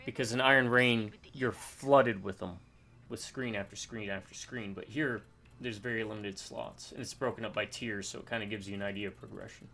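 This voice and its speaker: A man's voice: on the low side, fairly even intonation, brisk, vocal fry, pushed and bright, slight background noise. A man chatting casually while playing a co-op game, joking and thinking aloud. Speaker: co-op game player. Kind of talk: casual gameplay chat.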